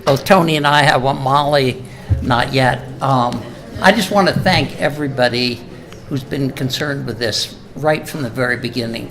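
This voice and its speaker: Older male, mid-pitched, informal public speaker and halting reader, live recording with faint noise. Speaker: speaker at a memorial event. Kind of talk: informal speech with reading from a letter. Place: live event before an audience. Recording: live event recording with noticeable background noise.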